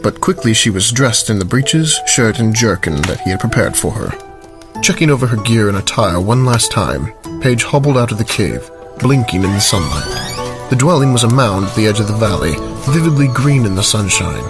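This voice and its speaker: A man's voice: on the low side, sing-song, moderate pace. A man narrating a scripted fantasy story for an audio drama in a storyteller's style. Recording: loud music behind the voice.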